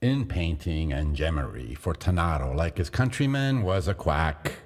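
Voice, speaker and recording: A man narrating a classic gothic tale in a measured, deliberate dramatic reading. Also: very deep voice, sing-song, long reverb tail.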